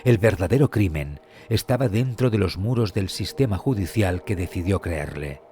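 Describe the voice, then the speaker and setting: Low-voiced man narrating true crime. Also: lively intonation, mellow. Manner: solemn, measured, suspenseful. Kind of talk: scripted dramatic narration. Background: music.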